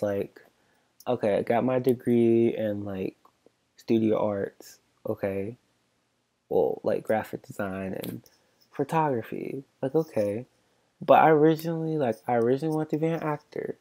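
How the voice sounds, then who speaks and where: Soft-voiced young man rambling casually. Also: medium pitch. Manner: halting, filler-heavy, many restarts and likes. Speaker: podcast host.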